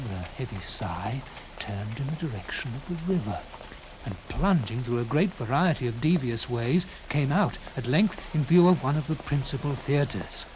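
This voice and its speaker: Over clearly audible background noise and ambience, a man narrates a literary tale. His voice sits at a medium pitch, and he tells it dramatically in the first person, with theatrical, deliberate phrasing that swells for emphasis. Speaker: narrator reading a classic short story.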